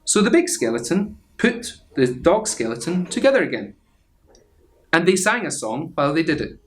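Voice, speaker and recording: A man reading a children's picture book aloud as a teacher would, expressive and suspenseful, drawing out repeated phrases. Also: on the high side. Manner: theatrical, suspenseful repetition with drawn-out phrases. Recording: clear background noise.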